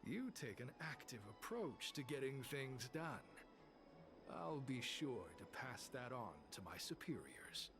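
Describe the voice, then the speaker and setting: An actor playing a composed, formal officer of a secretive order in a video game, speaking in a measured, theatrical way. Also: male voice, mid-range voice, big pitch swings. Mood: approving, secretive. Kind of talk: scripted video game character dialogue. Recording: music under the voice. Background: game music.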